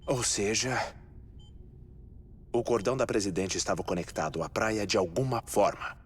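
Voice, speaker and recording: A man with a Brazilian accent playing a calm, methodical scientist who explains findings in a dubbed game cutscene. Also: on the low side, slightly rough. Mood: calm, explanatory. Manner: expository, measured. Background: music.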